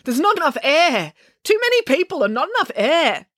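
High girl's voice acted by a woman, panicky, defiant, breathless, wailing, wildly swooping, storybook character. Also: very animated, brisk, a bit bright, dry recording, studio quality. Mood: panicked, gasping. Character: panicky, defiant girl desperate to escape a bus.

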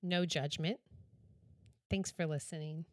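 A woman giving a heartfelt podcast introduction, earnest and affectionate, in short deliberate phrases. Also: low voice, fairly even intonation, darkish tone, dry recording, studio quality.

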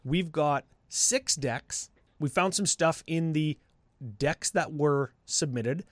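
A man's voice: a little high, takes it slowly, dry recording. A man co-hosting a casual podcast, upbeat and laughing often, his voice a bit scratchy from a cold. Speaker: podcast co-host. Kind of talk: casual chat.

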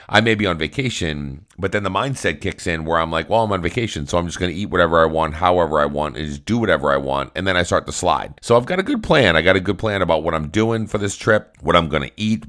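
A middle-aged man hosts his own podcast alone, talking in a rambling, upbeat way with frequent restarts. His voice sits at the very bottom of the male range, low and rumbling throughout. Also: a bit bright. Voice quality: very deep voice. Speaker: podcast host. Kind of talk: casual solo podcast monologue.

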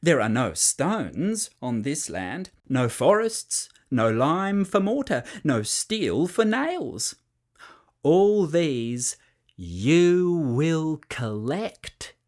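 A storyteller putting on a pompous, self-important island king from a storybook, declaring his plans in a raised, lively voice. He swells over grand words and drags out his proclamations with vain relish. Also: medium pitch, very slow, voice pushed up.

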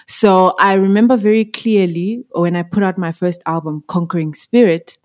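A woman from Zimbabwe in her thirties, a musician answering podcast questions in a reflective way with fillers and soft laughs. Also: fairly low pitch, moderate pace. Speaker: musician guest. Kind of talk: podcast interview.

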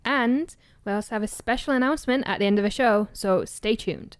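A woman with a softened Yorkshire accent co-hosts a true-crime podcast, blunt and dry with a teasing edge. Her voice is smooth and clean. It is recorded close and dry, with no room sound. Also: on the high side, fairly quick, dry recording. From Yorkshire, England. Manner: blunt, dry and joking, with casual swearing. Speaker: podcast co-host. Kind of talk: casual true-crime chat.

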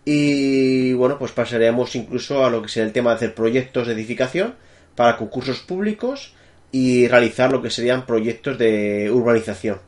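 A man's voice: mid-range voice, normal speed, darkish tone. Madrid-accented man explaining his work. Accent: Madrid Spanish. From Spain. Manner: thinking aloud, many fillers and restarts. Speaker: guest, technical architect. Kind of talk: remote interview answers. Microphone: remote call.

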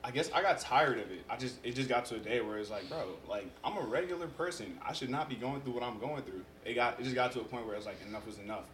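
A young American-born man whose first language is Yoruba, telling his story in a relaxed, casual way as a podcast guest. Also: mid-range voice, fast, hardly any pauses. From United States. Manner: relaxed storytelling, frequent fillers. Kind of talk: casual conversation. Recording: echoey room with background noise.

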